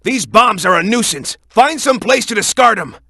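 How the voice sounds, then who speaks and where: A young, hot-headed echidna fighter from a video game. The voice sits high for a male and swings widely, with a bright, forceful edge. He talks in an urgent, punchy, gung-ho way, recorded very dry. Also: expressive, moderate pace, vocal fry, no echo, exaggerated voice. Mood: urgent instructing. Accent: American. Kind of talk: animated video game voice acting.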